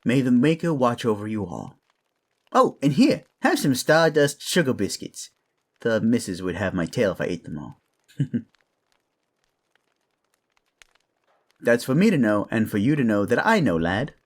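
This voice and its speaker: A man's voice: mid-range voice, sing-song, moderate pace. A man playing a kindly, avuncular animal craftsman with whiskers and a tail in a fantasy audio drama. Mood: jovial, kindly.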